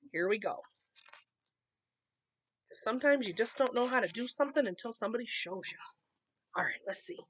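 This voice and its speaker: A woman reading a picture book aloud to children, expressive, with casual explanatory asides. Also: medium pitch. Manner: expressive, explaining to young listeners.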